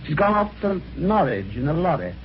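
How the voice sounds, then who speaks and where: Polite british husband in an old radio mystery, bit dim and hesitant, really up and down voice, muffled old recording. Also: male voice, on the high side, big pitch swings, moderate pace, creaky. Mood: flustered. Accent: British English. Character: well-mannered, slightly dim English husband recounting a mystery. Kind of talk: old-time radio mystery acting, narration and dialogue. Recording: dull, faintly noisy vintage radio recording.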